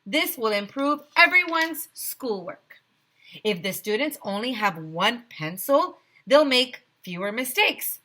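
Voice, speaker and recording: A woman's voice: fairly high pitch, big pitch swings, slow, bright, forceful tone, voice pushed up, full character voice. A woman voicing a bossy, penny-pinching male town mayor in a children's storybook, declaiming emphatically. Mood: bossy, dismissive. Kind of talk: children's storybook read-aloud.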